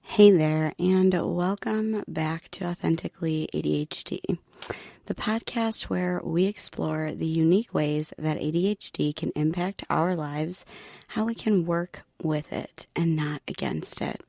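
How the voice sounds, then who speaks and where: A middle-aged woman hosting her own podcast, talking in a calm, steady monotone. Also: sits low, measured pace, vocal fry, no echo, clean studio sound. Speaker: podcast host. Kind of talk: solo podcast talk. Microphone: home podcast mic.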